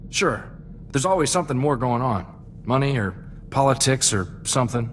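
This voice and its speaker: A man's voice: medium pitch, expressive, moderate pace, slight background noise, natural voice. A man playing an earnest, loyal young sidekick in a space-adventure game, talking in a plainspoken, folksy, reflective way. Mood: troubled, reflective. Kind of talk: video game cutscene dialogue.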